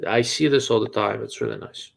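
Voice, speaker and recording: A man's voice: lowish voice, fairly quick, vocal fry, husky. A man with a Russian accent hosts a live Q&A, answering viewer questions in a blunt, dryly sarcastic way. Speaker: host. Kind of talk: live-stream chat and Q&A.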